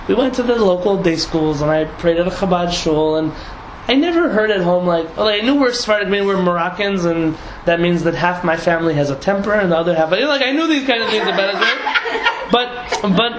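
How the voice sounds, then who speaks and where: Man, high voice, young rabbi chatting to a class, restarts a lot, echoey noisy room. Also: a little fast. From San Diego, United States. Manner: lively, laughing, many restarts and asides. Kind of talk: casual talk to a group. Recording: echoey room, audible noise.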